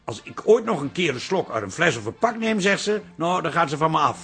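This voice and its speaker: A man's voice: fairly high pitch, sing-song, brisk, a bit bright, faint music behind. A man acting in a radio comedy drama as a middle-aged, bemused husband, wry, confiding and grumbling. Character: bemused, dry-humoured husband from a farming family.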